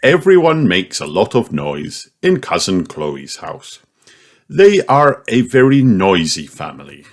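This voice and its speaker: A man reading a children's story aloud in an animated, expressive storytelling manner. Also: sits low, unhurried. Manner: animated, puts on character voices. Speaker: audiobook reader. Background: music.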